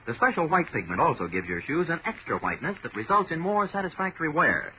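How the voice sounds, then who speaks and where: A man's voice: a little high, big pitch swings, fast. An American man announcing a scripted 1940s radio commercial in an instructive, salesmanlike way. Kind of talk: 1940s radio advertising read. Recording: dull, noisy old radio recording.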